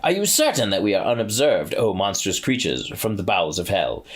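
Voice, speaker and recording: A man's voice: on the low side, very animated, fairly quick, breathy edge. A man voicing a scheming male demon who hams up villainous lines. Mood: hammy. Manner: hammy, overacted villain speech.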